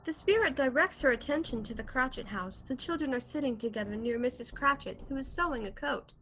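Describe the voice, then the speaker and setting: A woman reads scripted narration for a radio play. Her voice is on the high side, and she sets out each scene as the narrator, recorded at home over a call. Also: animated, a little fast, fairly bright tone, a little hiss or hum, poor audio. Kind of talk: scripted narration of stage directions.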